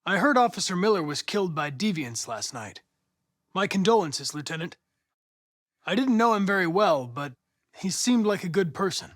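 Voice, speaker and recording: An android cop from a video game, lightish higher voice, polite n analytical, very exact formal wording, controlled but earnest. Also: male voice, very animated, fairly bright tone, exaggerated voice. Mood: sympathetic. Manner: precise, formal, measured. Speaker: android detective.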